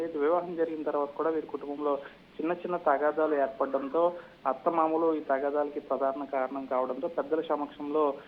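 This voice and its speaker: A man giving a live news report as a field correspondent, over a narrow phone-like connection. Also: a little high. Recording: narrow phone-like line, faint noise.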